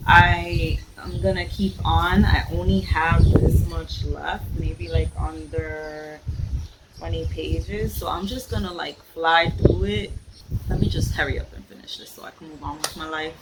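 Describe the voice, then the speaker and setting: A woman's voice: sits low, steady tone, measured pace. A blunt, sassy woman ranting in a casual reading vlog, repeating phrases for emphasis. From the Bronx, New York. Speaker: book vlogger. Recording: noisy home vlog recording.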